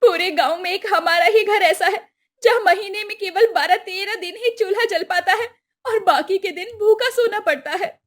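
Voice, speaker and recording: A woman's voice: very high-pitched, normal speed, fairly bright tone. A woman voicing a poor, fed-up wife in a cartoon story, complaining and scolding plaintively. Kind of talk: Hindi cartoon story voice acting.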